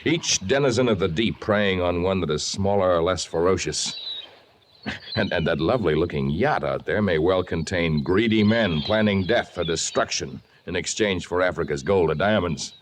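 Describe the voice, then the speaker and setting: An actor playing a grave, brave jungle lord with formal, eloquent phrasing in an old-time radio adventure. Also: male voice, low-pitched, normal speed, close to an everyday voice. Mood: grave, reflective. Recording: noisy vintage radio recording.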